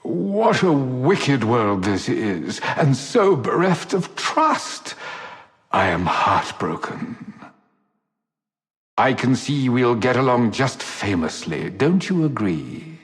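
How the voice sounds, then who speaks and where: An actor voicing a flamboyant, teasing rogue operative in a video game, with florid, mock-gallant delivery. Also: male voice, mid-range voice, sing-song, slow pace. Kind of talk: theatrical video game character acting. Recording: long echoing hall reverb.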